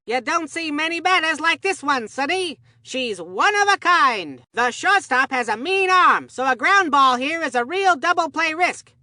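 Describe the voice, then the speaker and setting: Goofy cartoon ballgame commentator, really high sharp voice, earnest and rambly, absent minded, folksy. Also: expressive, normal speed, hardly any pauses, dry recording, studio quality, exaggerated voice. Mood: upbeat, folksy. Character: excitable, scatterbrained kids' baseball commentator Abner Dubbleplay. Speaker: sports commentator. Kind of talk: cartoon video game sports commentary.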